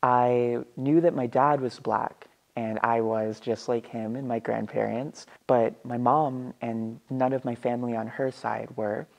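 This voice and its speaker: A young man recounts his own story in an earnest, measured monologue. His voice has a gravelly fry and sits in a middle pitch. The delivery is steady and sincere. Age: young adult. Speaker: student storyteller. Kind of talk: performed personal monologue. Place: empty seating room indoors.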